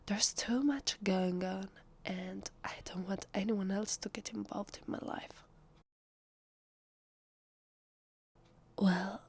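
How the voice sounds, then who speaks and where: A woman with an Italian accent playing an evasive, flustered female vampire posing as human in an ASMR roleplay, speaking softly and hesitantly in a husky half-whisper. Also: mid-range voice. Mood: grumbling, guarded. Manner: hesitant, slow, drawn-out.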